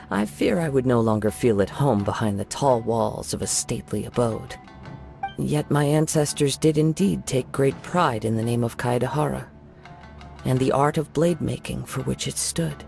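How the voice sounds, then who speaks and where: Man as young wandering samurai, soft-spoken, gravelly edge, formal and courteous, mid-pitched, game cutscene. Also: moderate pace, soft background music, lightly acted. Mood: reflective. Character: calm wandering young samurai, poised and reflective. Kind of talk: video game cutscene dialogue.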